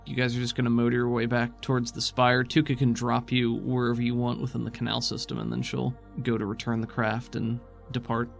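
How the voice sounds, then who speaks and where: A man's voice: medium pitch, completely flat delivery, fairly quick, vocal fry, hardly any pauses, faint music behind. A man working as game master, narrating an improvised tabletop game in a descriptive, conversational way.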